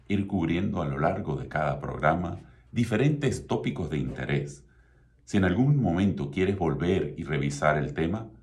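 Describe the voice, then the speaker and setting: A middle-aged man from Venezuela with a Venezuelan Spanish accent, hosting his own radio talk show in a measured, promotional way. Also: rumbling low, darkish tone. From Venezuela (Mérida). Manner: measured, promotional, repeats contact details.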